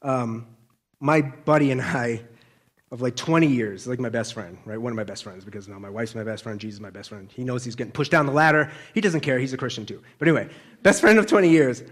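A man's voice: mid-range voice, fast. A man giving an informal, conversational sermon as a preacher, colloquial and often checking in with listeners.

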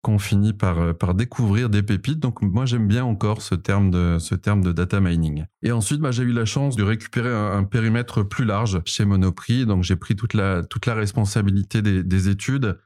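Parisian guy in his 40s, deepish mellow voice, lots of euh and restarts. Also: moderate pace, hardly any pauses. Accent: Parisian French. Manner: hesitant, many fillers and restarts. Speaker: podcast co-host, data specialist, interviewed guest. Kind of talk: conversational interview answer.

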